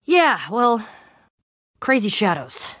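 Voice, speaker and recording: A woman's voice: on the high side, sing-song, slow, fairly bright tone, no echo, studio quality, exaggerated voice. An actress playing a tough, guarded young woman adventurer in a video game, speaking casually with wry exasperation and hesitant trailing-off. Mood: earnest, explaining.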